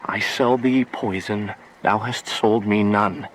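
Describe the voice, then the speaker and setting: Slow, lovesick young nobleman played by a man. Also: on the low side, fairly even intonation, rough recording. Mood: grimly resolved. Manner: declamatory, poetic. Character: lovesick, impulsive young nobleman turned desperate exile. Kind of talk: theatrical Shakespearean radio drama acting. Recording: faint background noise.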